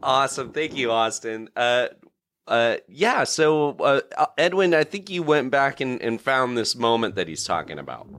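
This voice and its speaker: A man hosting a casual podcast, talking in a halting way as he searches for words. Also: medium pitch, very animated, fairly bright tone, no echo. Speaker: podcast host.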